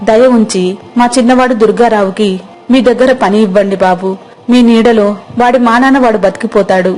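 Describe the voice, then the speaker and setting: A woman's voice: a little high, fairly quick, darkish tone, lightly acted, poor audio. A woman playing a humble widowed village mother, deferential and pleading, in an acted moral story. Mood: grateful, pleading.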